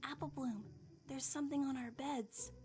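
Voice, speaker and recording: Woman as a friendly, high-voiced young filly. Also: steady tone, moderate pace, warm tone, soft background music, natural voice. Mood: cautious. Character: friendly, earnest young filly club member. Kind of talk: fan-made cartoon dub.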